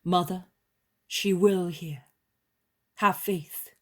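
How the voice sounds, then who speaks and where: Woman reading as a calm holy man, solemn and commanding, slow storybook pace. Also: lowish voice. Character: calm authoritative male holy healer.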